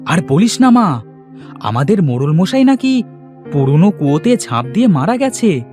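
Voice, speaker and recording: Man acting an earnest, animated poor village father. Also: a little high, moderate pace, soft background music. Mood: puzzled. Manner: earnest, expressive. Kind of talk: children's fairy tale cartoon dubbing.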